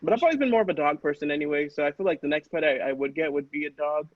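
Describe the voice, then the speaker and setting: A man's voice: a little high, rapid-fire, no room sound. A man casually telling a personal story in a rambling, hesitant, self-questioning way. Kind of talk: casual personal anecdote chat. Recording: dull, dry, lo-fi audio.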